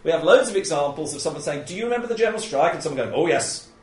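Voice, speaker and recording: A man with a loud voice giving an informal training talk on interviewing, chatty and often laughing as he acts out example questions. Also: on the high side, animated, very fast, noisy background. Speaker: oral history trainer. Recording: echoey room.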